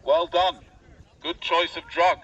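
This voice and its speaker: A British man giving a mock-serious, provocative public speech through a megaphone in a deadpan manner, addressing passers-by directly. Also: a little high, takes it slowly. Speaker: street speaker with megaphone. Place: outdoor public park. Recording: dull megaphone outdoors, distant, faint noise.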